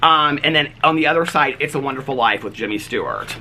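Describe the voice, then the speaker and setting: An American man chatting casually to camera as a vlogger, in a gushing, easily excited manner. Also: medium pitch, fast talker. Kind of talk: casual show-and-tell chat to camera. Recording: clearly noisy home recording with clicks.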